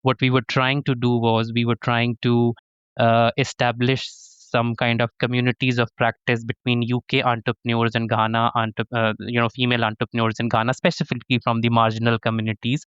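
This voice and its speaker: Earnest Pakistani-born professor, smooth voice. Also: male voice, mid-range voice, little pitch movement, normal speed, fairly bright tone, no echo, muffled recording. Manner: earnest, many restarts and "to be honest". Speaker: professor, interview guest. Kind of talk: conversational interview answers.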